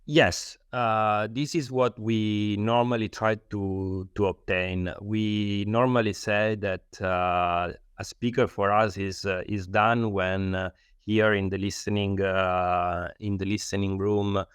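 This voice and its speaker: Smooth, low-voiced Italian man explaining carefully. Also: fairly even intonation, slow, no room sound, studio quality. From Italy. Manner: hesitant, searching for words in non-native English. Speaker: loudspeaker designer, interview guest. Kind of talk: explanatory interview answers.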